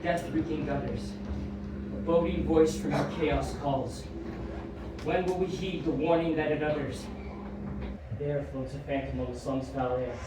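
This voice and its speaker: A man's voice: a little high, steady tone, measured pace, close to an everyday voice. An actor playing an ominous man who solemnly intones doom-laden verse in an audio drama, with a portentous manner. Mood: grim foreboding. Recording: very echoey, distant, loud background noise.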